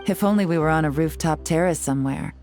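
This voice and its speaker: A woman's voice: low voice, fast, a little mellow, soft background music. An actress voicing a composed, lightly teasing woman agent in a game cutscene. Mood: relaxed. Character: poised cool woman agent on vacation.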